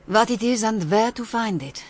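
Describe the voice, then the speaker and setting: An actress playing a shrewd woman dealer, terse and deliberate, in a radio drama. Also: a little high, expressive. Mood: cool, shrewd.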